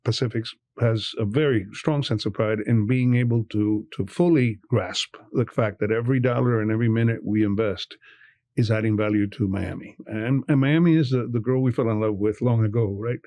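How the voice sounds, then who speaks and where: A man's voice: lowish voice, a little fast, mellow, no room sound. An older man from Cuba, a bank executive speaking passionately in a podcast interview, often repeating words for emphasis. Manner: passionate, repeats words when stressing a point.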